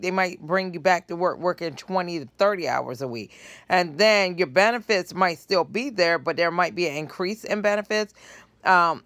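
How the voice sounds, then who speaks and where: A woman's voice: mid-range voice, fairly bright tone, no echo. Woman, middle-aged, casual phone vlog, dry close sound, living room. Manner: conversational, works through sums aloud. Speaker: vlogger commenting on news clips. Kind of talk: casual commentary to camera. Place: living room couch indoors. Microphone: phone held close at low angle.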